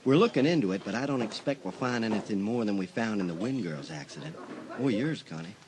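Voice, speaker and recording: A man's voice: lowish voice, animated, fast talker, background noise, close to an everyday voice. An older man voicing a tired, plain-spoken small-town sheriff in an audio drama. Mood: weary.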